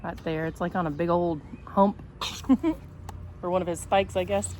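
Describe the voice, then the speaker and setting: A woman's voice: on the low side, steady tone, normal speed, a little mellow. A woman teacher talking casually and reading a picture book aloud, with a chatty, rambling, self-deprecating way of speaking. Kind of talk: casual vlog chat and storybook read-aloud. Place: outdoors in sunshine. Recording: homemade outdoor recording with background noise.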